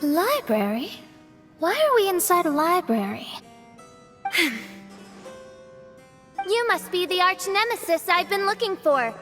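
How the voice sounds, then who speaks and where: Grandiose game princess, high and bright, slow deliberate pace, archaic wording, haughty. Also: female voice, sing-song, soft background music, exaggerated voice. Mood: smug. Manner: pompous, archaic, dramatic delivery. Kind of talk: video game voice acting.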